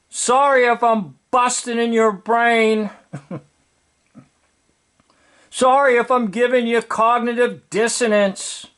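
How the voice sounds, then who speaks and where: A man with a noticeably high voice delivers informal commentary at a slow pace. He explains things in a preachy, emphatic way, circling back to repeat key words. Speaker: video commentator.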